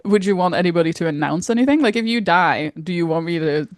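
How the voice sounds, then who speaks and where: A woman's voice: on the low side, animated, fast, dry recording. Casual, inquisitive woman podcaster in dry sound. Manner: informal, inquisitive, laughs readily. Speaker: podcast co-host. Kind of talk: casual chat.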